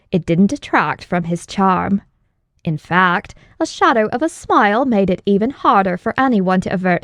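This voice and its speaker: A woman reading scripted fantasy narration as an audiobook narrator, in a measured, dramatic storytelling manner. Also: mid-range voice, dry recording, clean studio sound.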